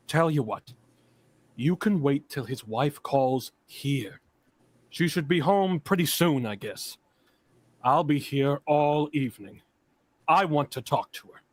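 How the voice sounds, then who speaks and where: Slow-talking offhand doctor played by a man. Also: on the high side, animated, no room sound. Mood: offhand, weary. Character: brusque, unhurried male doctor on the telephone. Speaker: actor in radio drama. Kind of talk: vintage radio drama acting.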